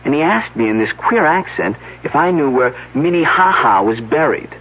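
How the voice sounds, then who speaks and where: An earnest young man, a little flustered and uneasy, in a vintage mystery drama. His voice is fairly high with very animated intonation. It sounds narrow and phone-like. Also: moderate pace, breathy edge. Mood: earnest, recounting. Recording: narrow, slightly noisy phone-like old broadcast audio.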